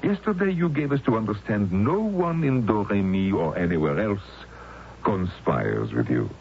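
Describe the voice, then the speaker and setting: An actor playing a stern, coldly formal wartime interrogator with a patronizing, measured manner in an old-time radio drama. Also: male voice, fairly low pitch, very animated. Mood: coldly menacing. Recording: muffled old radio recording, faint hiss.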